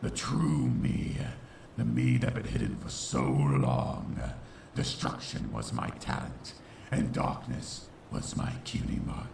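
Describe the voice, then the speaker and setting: A man voicing a brooding, vengeful shadow pony villain in a comic dub, with a gravelly voice and a theatrical delivery. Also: very deep voice, huge pitch swings, slow pace, exaggerated voice. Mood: grim, dramatic. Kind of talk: dramatic comic dub narration and dialogue. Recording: roomy, distant, faintly noisy home recording.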